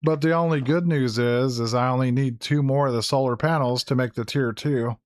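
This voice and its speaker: A hoarse man who is losing his voice, giving casual gameplay commentary, thinking aloud with fillers and self-corrections. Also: mid-range voice, steady tone, normal speed, no room sound, studio quality. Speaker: gaming YouTuber. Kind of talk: casual Let's Play commentary.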